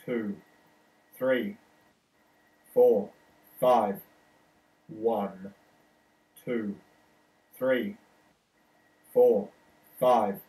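A man counting out single words deliberately, heard as a recording played back through a small module. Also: fairly low pitch, steady tone, mellow, far from the mic, low-quality recording. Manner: deliberate, one word at a time. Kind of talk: flat spoken number count.

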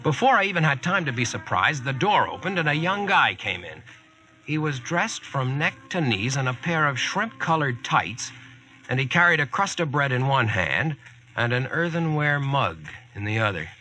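Young American veteran, wry plain-talking storyteller, animated swings in pitch, 1940s radio play. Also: male voice, normal speed, low-quality recording. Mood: storytelling.

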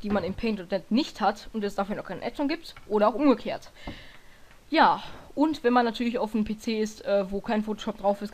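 A woman with a hoarse voice walks through a software tutorial in a loose, chatty way. She rambles, corrects herself mid-sentence and fills gaps with hesitations. Her pitch sits in an ordinary middle range. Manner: rambling, self-correcting, lots of fillers. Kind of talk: casual screen-recording tutorial.

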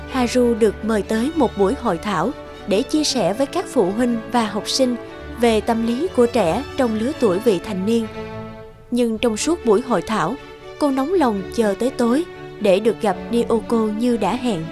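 A woman reading scripted narration for an animated story. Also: fairly high pitch, normal speed. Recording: clear music behind the voice.

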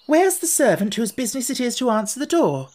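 A man's voice: very high-pitched, big pitch swings, fairly quick, a little mellow, voice pushed up, exaggerated voice. A man voicing an impatient, curious young girl in a storybook, speaking in an emphatic, indignant way.

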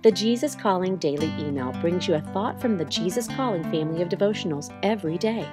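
A woman announcer reading a promotional message in an upbeat manner, slowing down to give a web address. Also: lowish voice. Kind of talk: promotional read. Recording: guitar music underneath.

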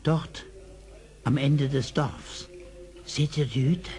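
An actor playing an unworldly, devout psalm-singer in a radio play, with an exalted manner. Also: male voice, mid-range voice, fairly even intonation, slow, darkish tone, soft background music, natural voice. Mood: mild. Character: pious, unworldly psalm-singer full of praise.

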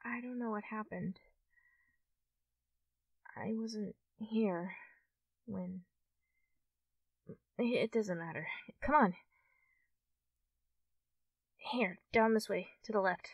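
Woman as shy, excitable fox girl for ASMR friend roleplay; halting, unhurried delivery, dry sound, slightly off-mic. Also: medium pitch, gravelly, breathy edge, no echo, distant mic, dull audio, exaggerated voice. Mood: casual, hesitant. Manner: hesitant, halting, giggly, addressing the listener as a friend. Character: friendly young fox-tailed cartoon studio girl, shy, easily excited. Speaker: roleplay performer.

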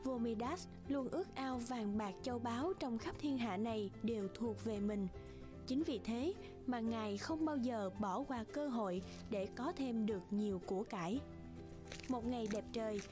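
A woman's voice: a little high, normal speed, over music. A woman reading scripted fairy-tale narration for a cartoon as the storyteller.

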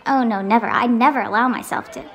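Woman as a meek, muddled, high-voiced man. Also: fast talker, dull audio, lightly acted. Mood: defensive. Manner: hesitant, defensive. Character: meek, muddled, hard-drinking man, mildly apologetic. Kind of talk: radio play acting.

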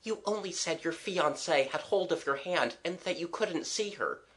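A reader voicing a polite, matter-of-fact schoolgirl with a gentle, reassuring manner in a storybook. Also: mid-range voice, expressive, normal speed, pitched above the performer's own voice, lightly acted, rough recording.

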